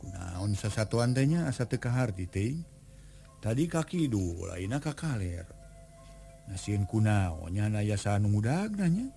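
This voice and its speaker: A man's voice: fairly low pitch, big pitch swings, a little slow, gravelly, warm tone, faint music behind, exaggerated voice. A man doing a mild, wondering young hero. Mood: puzzled. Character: young hero leading his brothers, even-tempered.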